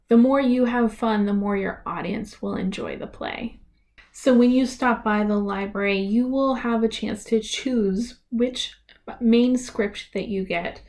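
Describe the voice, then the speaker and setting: Woman, tutorial presenter, slightly high pitch, unhurried pace, careful enunciation. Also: a little mellow. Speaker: presenter of a library reader's theater tutorial. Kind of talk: instructional presentation for families.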